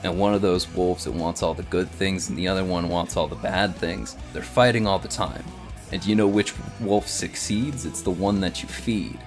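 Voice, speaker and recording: A man acts out an earnest action-comedy dude in a tabletop game. The low voice swaggers through rambling, slangy speeches, like a bro rallying his pack. Music plays behind. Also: fairly even intonation, normal speed, natural voice. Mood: earnest speechifying. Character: earnest, swaggering dude hero pitching wolf-pack solidarity.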